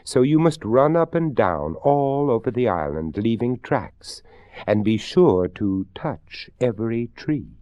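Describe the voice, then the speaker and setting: A man voicing a courteous, grateful old skeleton in a storybook reading, with formal, genteel, drawn-out phrasing. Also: medium pitch, very animated, warm tone, no echo, highs cut off, voice pushed up. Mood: helpful, instructing.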